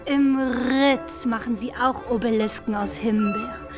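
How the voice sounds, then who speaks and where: Sensual young woman, high voice, unhurried, savoring, eloquent, playful, radio drama. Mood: dreamy, sensual. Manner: savoring, eloquent, florid phrasing. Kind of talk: literary radio drama acting. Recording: studio radio play with music underneath.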